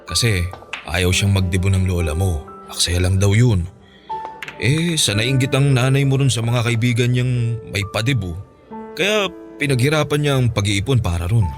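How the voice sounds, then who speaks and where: An actor plays an easygoing middle-aged Filipino dad in a radio drama, henpecked and forgiving. His voice is low, folksy and teasing, with music clearly playing behind him. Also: natural voice.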